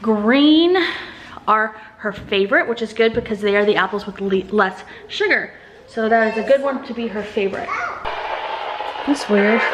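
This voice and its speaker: Higher-pitched woman chatting in a noisy vlog. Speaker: family vlogger and mother. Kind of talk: casual vlog chat.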